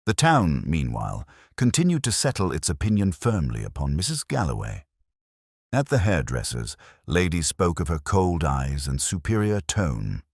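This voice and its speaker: Very deep-voiced man narrating a mystery. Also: wildly swooping pitch, gravelly, no room sound, studio quality. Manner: wry, unhurried storytelling.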